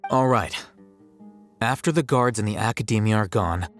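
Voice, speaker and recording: A man playing a serious, measured, analytical character in a video game. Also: fairly low pitch, soft background music, natural voice. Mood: businesslike.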